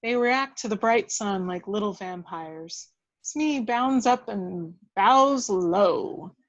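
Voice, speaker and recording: A woman's voice: mid-range voice, lively intonation, slow pace, muffled recording. A woman reading stage directions as scripted narration at a table read of a film script.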